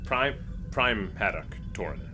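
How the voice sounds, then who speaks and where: A man's voice: on the low side, lively intonation, a little slow, over music. A man roleplaying casually as a ship's captain in a tabletop game, in a playfully formal manner.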